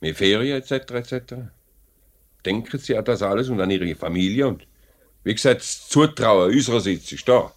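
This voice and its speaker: An actor playing a self-interested factory director in a 1950s radio play, brisk, persuasive and condescending, with a Swiss German accent. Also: male voice, lowish voice, close to an everyday voice. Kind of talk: mid-century radio play acting. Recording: vintage 1950s radio drama recording.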